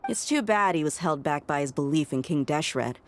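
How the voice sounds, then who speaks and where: An actress playing a pragmatic, level-headed desert mercenary in a video game, measured and matter-of-fact. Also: female voice, deep voice, expressive. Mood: calm, pragmatic.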